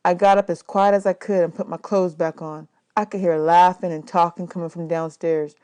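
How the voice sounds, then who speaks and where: Expressive woman reading a novel aloud. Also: lowish voice, normal speed, clear, resonant tone. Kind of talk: expressive audiobook read-aloud.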